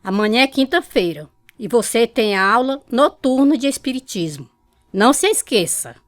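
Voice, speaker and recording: A woman's voice: a little high, animated, moderate pace, no echo, clean studio sound, close to an everyday voice. A woman playing a practical, firm mother in a radio drama, giving instructions. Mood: stern concern. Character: practical, firm hard-pressed mother of a household.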